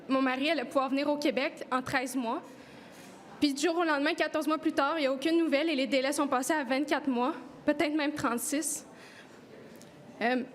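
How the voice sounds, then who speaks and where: A woman's voice: high-pitched, a little hiss or hum. A woman with a Québécois accent giving an earnest, emotional personal testimony at a press conference. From Quebec.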